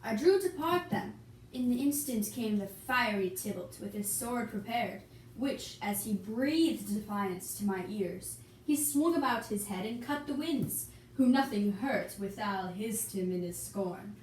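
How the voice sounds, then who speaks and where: A woman's voice: medium pitch, expressive, unhurried, lightly acted. A woman voicing an earnest young nobleman, reciting verse in an amateur Shakespeare puppet show. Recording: echoey room, distant mic, background hiss.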